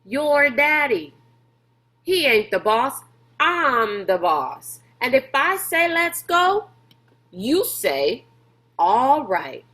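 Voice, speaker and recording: A woman's voice: sits high, very animated, very slow, bright, forceful tone, voice pushed up, exaggerated voice. A large, pushy man from a storybook, as acted out by a woman reader. He hollers in a folksy drawl and likes to boss people around. The delivery takes its time. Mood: bossy, angry. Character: large brash bossy man, folksy schemer.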